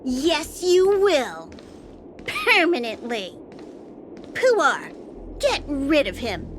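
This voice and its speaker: A woman voicing a small, imperious creature playing a menacing gang boss in a video game, commanding and deliberate. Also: sits high, wildly swooping pitch, slight background noise, exaggerated voice. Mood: cold command.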